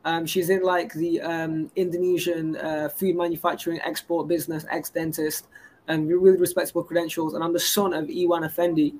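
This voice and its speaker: A man's voice: a little high, flat delivery, brisk, a little mellow, a little hiss or hum, low-quality recording. A man, a guest rambling through his business credentials in a casual interview. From England. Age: 20s. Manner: boastful and rambling, stacks up credentials. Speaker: guest entrepreneur.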